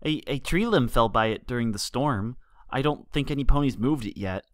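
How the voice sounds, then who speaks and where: A man's voice: mid-range voice, normal speed, no room sound, clean studio sound, natural voice. A man voicing a frightened little boy pony in a storybook, stammering, breathless and pleading.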